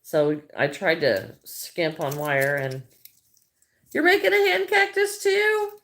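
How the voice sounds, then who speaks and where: A woman's voice: on the low side, big pitch swings, takes it slowly, fairly bright tone. A middle-aged Midwestern American woman chatting casually on a crochet livestream, greeting viewers by name and thinking aloud as she works.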